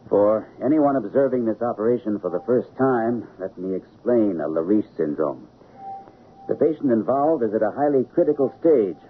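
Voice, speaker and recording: An actor playing an authoritative chief surgeon in an old radio drama, giving clipped commands and measured, formal explanations. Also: male voice, lowish voice, natural voice. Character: composed, authoritative male chief surgeon lecturing while operating. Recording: narrow, noisy old radio recording.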